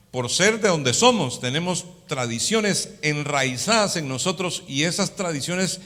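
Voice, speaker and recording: A man's voice: fairly high pitch, sharp tone. A man with a Latin American Spanish accent teaching a sermon as a pastor, in a didactic manner, often checking for agreement with short tag questions. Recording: long hall reverb.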